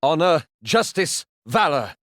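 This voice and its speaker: A woman's voice: deep voice, big pitch swings, slow pace, a bit bright, dry recording, studio quality, heavily acted. A woman voicing an honorable, loyal knight in a video game, giving proud rallying battle cries. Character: valiant, honorable female knight loyal to king. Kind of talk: video game hero voice lines.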